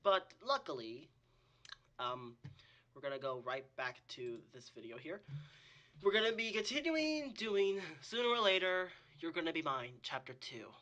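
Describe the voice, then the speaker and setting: Husky, very bright-voiced man reacting casually. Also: a little high, very animated, unhurried. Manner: rambling, exclamatory. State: sick with a cold or similar illness. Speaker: host of a reaction channel.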